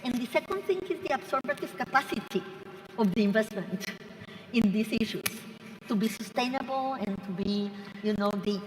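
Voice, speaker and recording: A woman's voice: on the high side, lively intonation, moderate pace. A woman from Rica, speaking emphatically and off the cuff as a conference panelist. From Costa Rica. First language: Spanish. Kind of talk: impromptu panel discussion. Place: large conference hall. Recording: distant mic in echoing hall.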